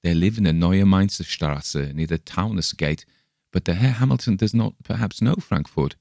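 A man's voice: sits low, normal speed, creaky, a little mellow, no echo, studio quality. A man voicing a friendly, boyish young German brewer who talks in an earnest, chatty way, lifting his voice above his own. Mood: fond.

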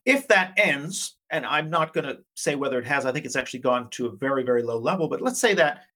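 A man from Canada speaking as an academic interview guest, explaining conversationally in a measured, qualifying way with frequent asides. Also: mid-range voice, animated, pushed and bright.

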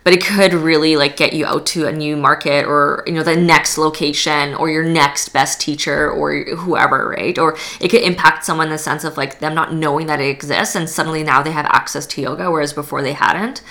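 A young woman from Poland speaks as a podcast guest. Her voice sits very low, with a gravelly, bright edge. She talks in a loose, chatty way, full of fillers and restarts. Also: steady tone, a little fast, few pauses. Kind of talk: casual interview chat.

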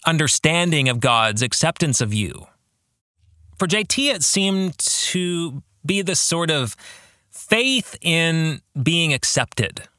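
A man's voice: medium pitch, pushed and bright, dry recording, clean studio sound. An American man hosting a podcast, talking earnestly and reflectively to listeners, with emphasis and probing questions. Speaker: podcast host and author leading a book club.

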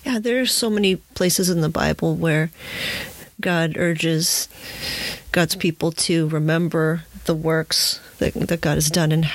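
A woman hosting an interview, speaking reflectively and a little tentatively, pausing between phrases as she forms her thoughts. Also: deep voice, steady tone. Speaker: podcast host. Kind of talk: conversational interview, reflective commentary.

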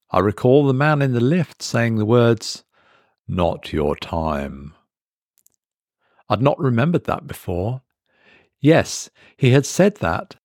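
A man reading a first-person horror story as a trapped man in dazed, creeping dread, speaking in halting, brooding phrases. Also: lowish voice, vocal fry, a little mellow, no room sound, studio quality, full character voice. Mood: uneasy realisation.

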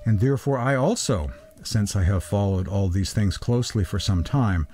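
A man speaks in a low, very mellow voice over faint music. He delivers a scripted religious lecture in a reflective way. His phrasing is measured and deliberate, set out piece by piece as he reads quotations. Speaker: presenter of religious history talk.

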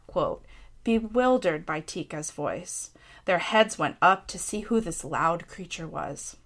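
A woman in her thirties with an American accent, a writer reading her own personal essay aloud in a measured, expressive storytelling way. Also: fairly low pitch.

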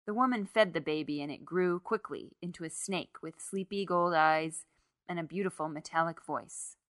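A woman's voice: on the low side, moderate pace, fairly bright tone, dry recording, studio quality. A woman reading a literary short story aloud as scripted narration.

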